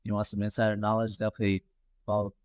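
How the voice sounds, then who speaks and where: A young man giving blunt, casual shout-outs in slang. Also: lowish voice, completely flat delivery, rapid-fire, no room sound. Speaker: rapper guest.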